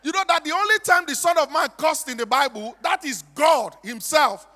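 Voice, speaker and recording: A man with a Nigerian accent and a strikingly high, bright voice tells stories in a preacher's style. He drives points home by repeating them and throwing questions at his listeners. His words ring through a hall with long reverb. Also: moderate pace. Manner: emphatic, repetitive, with rhetorical questions. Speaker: stage speaker and comic storyteller. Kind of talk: animated preaching-style storytelling to an audience.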